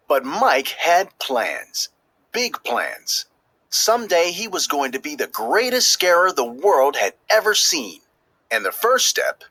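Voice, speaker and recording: A man reading a children's story aloud as scripted narration, with expressive, emphatic delivery that draws out key words. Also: fairly high pitch, husky, dry recording, low-quality recording. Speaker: storybook reader.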